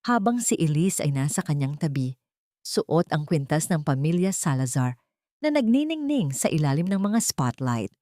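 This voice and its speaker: A woman's voice: low voice, sing-song, moderate pace, mellow, dry recording, studio quality. A woman narrating a scripted love story in a solemn, dramatic manner.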